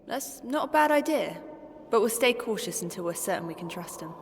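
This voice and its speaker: Cautious half-elf, reverberant. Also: female voice, mid-range voice, animated, husky. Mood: wary. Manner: measured, earnest, wary. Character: cautious, level-headed female half-elf adventurer, principled and vigilant. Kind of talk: fantasy audio drama acting. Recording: long hall reverb, music bed underneath.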